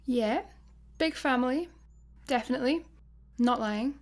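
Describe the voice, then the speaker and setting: Scottish space worker in a radio comedy, highish voice, laid-back, dry and literal. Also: female voice, measured pace. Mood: chatty. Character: laid-back, literal-minded female space satellite employee. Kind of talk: sci-fi comedy radio play acting.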